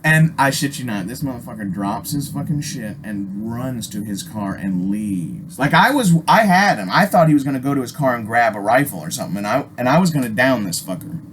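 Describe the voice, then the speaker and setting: A man's voice: medium pitch, expressive, fairly quick, hardly any pauses. Profane middle-aged man over noisy ambience. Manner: profane, emphatic, digressive. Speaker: storyteller and video host. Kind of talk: casual, rambling personal storytelling.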